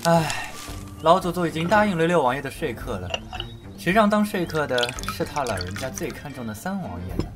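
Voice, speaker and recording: A man's voice: fairly high pitch, very animated, brisk. An actor playing a flippant, sly young nobleman of a poison clan in an audio drama, nonchalant and teasing. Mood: sly, casual. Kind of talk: Chinese historical audio drama acting. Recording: background music under the voice.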